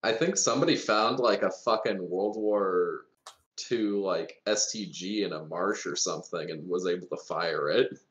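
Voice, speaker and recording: A man's voice: low-pitched, normal speed, echoey room, lo-fi sound. A man chatting casually as he plays a tabletop game.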